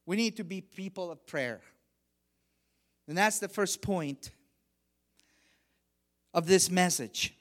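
A middle-aged man from Honduras preaching a sermon, measured and emphatic, with quieter stretches for personal stories. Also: high voice. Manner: measured preaching, rising emphasis on key phrases. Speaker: guest preacher.

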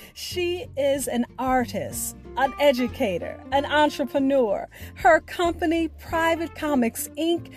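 A woman host with a high voice reads a scripted outro. She takes it slowly, in a measured, presentational way. Music plays softly behind her. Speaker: show host.

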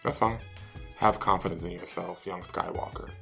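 A man's voice: low-pitched, fairly even intonation, normal speed, gravelly, bright, forceful tone, faint music behind. A man co-hosting a podcast, tossing casual banter and short replies into the chat. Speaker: podcast co-host.

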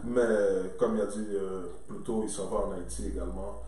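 A man's voice: lowish voice, fairly even intonation, brisk, mellow. A man, a coach co-hosting a casual chat, who drops in English interjections and eager agreement. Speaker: co-host, coach. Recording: very echoey room, distant mic.

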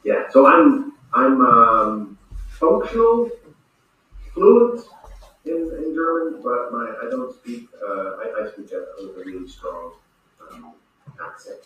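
A man's voice: medium pitch, sing-song, takes it slowly, sharp tone, very echoey, recorded from a distance, highs cut off. A man answering interview questions as a guest referee, hesitant, with many fillers and restarts.

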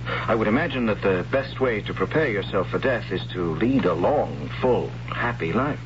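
A man playing a wry, doomed captive who meets his fate with bewildered irony. His voice is low but swings widely in pitch, often jumping up in disbelief. Dull, hissy old radio sound. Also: animated, moderate pace, close to an everyday voice. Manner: wry, ironic, incredulous. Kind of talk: 1970s radio drama acting. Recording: muffled old radio broadcast with hiss.

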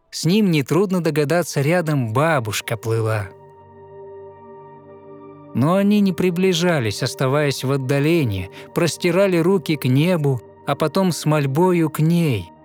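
A man narrating a fairy tale in verse, with a lyrical, rhythmic and expressive scripted delivery. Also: a little high.

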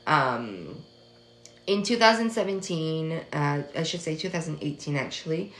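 A woman in her twenties whose first language is French, hosting a podcast in a casual personal monologue, soft, hesitant and earnest, pausing often. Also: deep voice, lively intonation. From United States. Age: 20s. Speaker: podcast host. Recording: echoey room.

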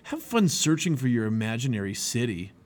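A man voicing a stubborn, strong boy who is gruff, bossy and scornful, in a children's story. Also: medium pitch, sing-song, fairly quick, darkish tone, close to an everyday voice.

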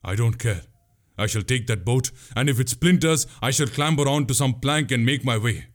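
A man's voice: medium pitch, moderate pace, natural voice. A man playing a bold, commanding young prince in a historical tale, with formal, resolute speech. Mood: defiant. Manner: formal, resolute, archaic wording.

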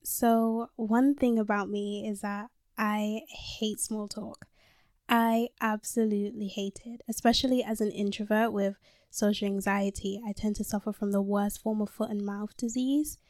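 A woman's voice: medium pitch, level tone, a little slow, a little mellow, no room sound, studio quality. A nineteen-year-old woman from London with a slight American tone, chatting candidly and swearing freely on her solo podcast, recorded in a small closet on a handheld mic. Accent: London with some American tone. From London, England. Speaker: podcast host. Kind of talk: casual solo podcast monologue.

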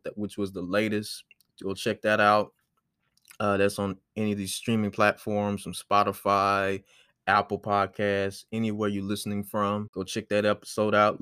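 A twenty-year-old man hosting his solo podcast, rambling in a relaxed way with lots of fillers. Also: lowish voice, smooth, no echo, clean studio sound. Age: 20s. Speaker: podcast host.

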